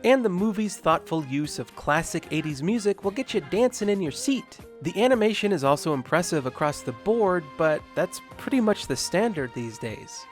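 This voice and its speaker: A man delivering scripted film review commentary with emphatic, sarcastic asides. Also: a little high. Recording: music behind the voice. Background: background music.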